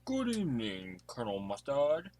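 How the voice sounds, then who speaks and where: A man's voice: medium pitch, wildly swooping pitch, moderate pace. A man playing a formal, deferential butler in an amateur audio drama. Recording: quiet, noisy mic with clicks.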